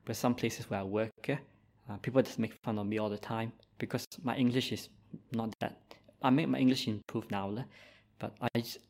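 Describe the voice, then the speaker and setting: A man with a Malaysian accent, a Buddhist monk giving an informal dharma talk in a gentle, halting way, with many "uh"s and "lah"s. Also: medium pitch, steady tone.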